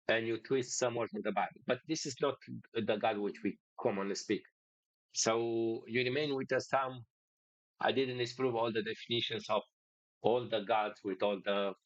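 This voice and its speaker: A man's voice: medium pitch, no room sound, rough recording. A man from Romania with a Romanian accent, hosting a casual monologue in English with many fillers and restarts. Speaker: podcast host.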